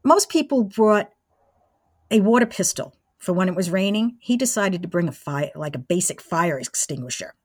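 Interview guest: older female, low-pitched, relaxed conversational storytelling, very little room sound. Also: moderate pace, no room sound, clean studio sound. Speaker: interview guest, former college radio engineer. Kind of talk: casual reminiscing.

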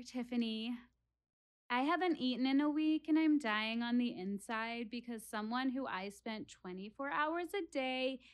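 Actress as a high, animated, wry monologuing woman. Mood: sarcastic. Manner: dramatic, rhetorical, addressing listeners directly. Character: earnest, wry woman philosophizing to her theater audience. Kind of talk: theatrical one-woman monologue in an audio play.

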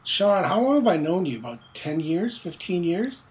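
A man's voice: high voice, very animated, moderate pace. A man from the Philippines, raised in Montreal, talking loosely about his comic in an interview, with many fillers and restarts. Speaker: comic book writer. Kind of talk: casual interview.

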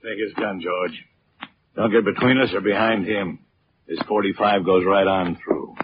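An actor playing a curt, menacing, trigger-happy killer in a 1940s radio crime drama. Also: male voice, sits low, fairly even intonation, normal speed, natural voice. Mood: cold command. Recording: muffled, noisy 1940s radio recording.

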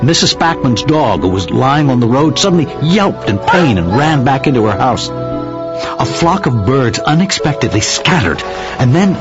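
A man's voice: medium pitch, big pitch swings, moderate pace, natural voice. A man playing a small-town witness who recounts uncanny events as dramatic, literary narration in an audio drama. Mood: grave, suspenseful. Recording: slightly dull audio with music behind. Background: music.

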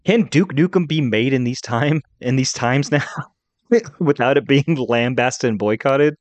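A man's voice: mid-range voice, measured pace, no room sound. A man, an informal and opinionated podcast co-host in casual chat. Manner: informal, opinionated fan talk. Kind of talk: casual geek-culture chat.

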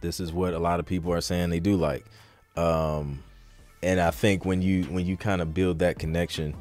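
A man with a lowish voice hosts a casual podcast Q&A. He speaks in an informal, slangy way and rambles as he works through his thoughts. Music plays behind him. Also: level tone, moderate pace, darkish tone. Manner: informal, slangy, rambling delivery that thinks aloud. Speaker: podcast host answering listener questions. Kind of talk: casual live Q&A chat.

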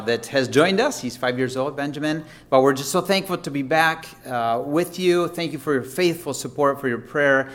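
A man who is a missionary speaks to a congregation in a relaxed, friendly way. He talks casually, with frequent fillers. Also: medium pitch. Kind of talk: casual church update.

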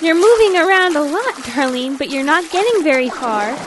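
A woman voicing a kindly, patient female duck in a children's audio drama, explaining things gently. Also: high-pitched, expressive, normal speed, noisy background. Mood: amused.

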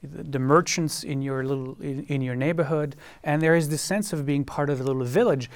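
A man from France with a French accent, a guest on a panel discussion who talks haltingly, with many fillers and repeated words. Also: fairly high pitch, no room sound.

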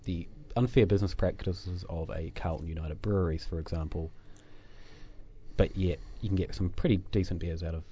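A New Zealand man with a very low, mellow voice, hosting a relaxed podcast chat. He talks loosely and self-deprecatingly, full of fillers and restarts. The desk-mic recording sounds a little dull and lo-fi. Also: a little fast, slight background noise. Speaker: podcast host. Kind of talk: casual chat. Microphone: desk microphone.

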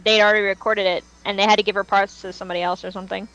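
A woman's voice: mid-range voice, little pitch movement, racing, a bit bright, poor audio. A woman chatting casually as co-host of a fan podcast, talking in a reminiscing way.

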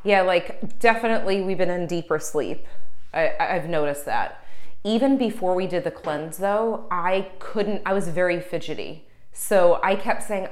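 A woman co-hosts a casual podcast in a fairly low voice. She rambles easily, often quoting what she told herself. The room around her is echoey. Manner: rambling, often quotes her own thoughts. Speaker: podcast co-host. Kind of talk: casual conversational chat.

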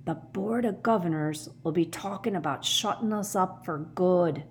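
A woman voicing a huge, shaggy, anxious man with a hoarse growl and slow rustic speech in a storybook. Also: on the low side, animated, a little hiss or hum. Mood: worried, secretive.

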